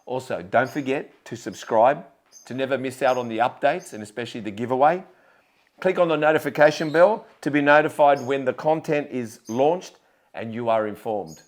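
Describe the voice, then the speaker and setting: A man reading a brisk promotional spot for a podcast. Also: medium pitch. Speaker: announcer of show ads.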